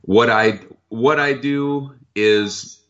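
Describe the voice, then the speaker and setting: A man speaking as a chef in a casual interview, relaxed, talkative and frank. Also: medium pitch, drawn-out words. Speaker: chef who buys from foragers. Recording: dull lo-fi sound.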